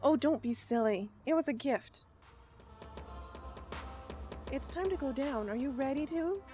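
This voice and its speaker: Admiring girlfriend type played by a woman, high young voice, cheerful radio-play acting over music. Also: animated, measured pace. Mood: bright, fond. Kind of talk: amateur radio drama acting. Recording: music behind.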